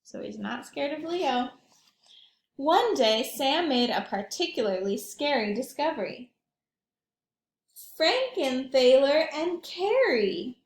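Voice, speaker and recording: A high, very lively woman's voice reads a picture book to small children in a roomy space. She takes her time and adds simple asides to explain the story. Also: slow. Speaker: early childhood read-aloud storyteller.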